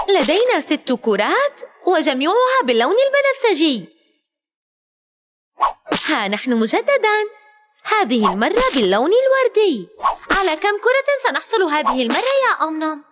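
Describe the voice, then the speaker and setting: Very high-pitched woman narrating for kids. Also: background noise. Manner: exclamatory, addressing a cartoon character directly. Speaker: narrator of a children's learning cartoon. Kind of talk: animated children's educational narration.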